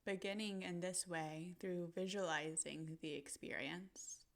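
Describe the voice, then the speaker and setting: A woman narrating a guided visualization in a gentle, soothing manner, pausing deliberately between short phrases. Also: fairly low pitch, steady tone.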